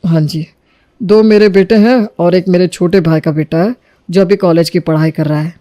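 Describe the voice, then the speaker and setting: A man's voice: high-pitched, steady tone, moderate pace, dark tone, no room sound, lightly acted. A man voicing a genial, easily amused middle-aged male relative in a cartoon story. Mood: agreeable. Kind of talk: Hindi cartoon moral story dialogue.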